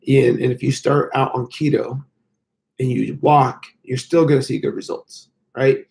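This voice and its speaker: Male fitness coach, hoarse and gravelly, casual rambling live-stream chat, mid-pitched voice. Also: fairly even intonation, a little slow, a little mellow. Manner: rambling, frequent fillers. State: hoarse, losing his voice.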